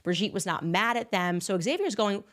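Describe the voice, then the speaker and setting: A woman's voice: mid-range voice, expressive, normal speed. A woman hosting a podcast, talking through a story in a casual, emphatic commentary style, with sarcastic asides and rhetorical "okay?" checks. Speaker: podcast host.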